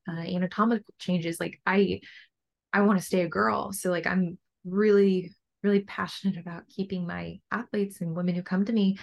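A young adult woman with a low voice, talking casually and earnestly as a coach being interviewed on a podcast. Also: gravelly. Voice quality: low-pitched voice. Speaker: bodybuilding coach and podcast guest.

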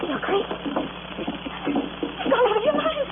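A woman's voice: very high voice, expressive, a little slow. An actress playing a frightened, frantic woman in an old radio thriller, on a muffled and noisy recording.

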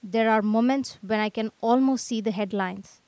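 A woman's voice: a little high, normal speed, a little mellow, no room sound, natural voice. A woman playing a gloomy, aggrieved young male artist in a radio drama, with theatrical lamenting. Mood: bitter self-pity.

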